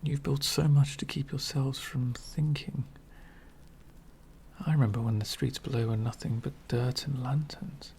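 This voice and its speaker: A man playing an elegant, centuries-old vampire host in an ASMR roleplay, lonely, wry and courtly, soft-spoken with frequent whispers and gentle condescension. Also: medium pitch, steady tone, measured pace, slight background noise, lightly acted. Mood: disdainful, resigned. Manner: soft-spoken, often dropping into breathy whispers.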